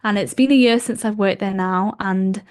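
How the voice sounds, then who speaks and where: A woman's voice: medium pitch, normal speed, clear, resonant tone. A young woman in her twenties from Wales with a Welsh accent, her first language being Welsh, chatting as a podcast guest with lots of ums and likes. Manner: chatty, warm laughs, frequent ums and likes. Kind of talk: casual interview chat.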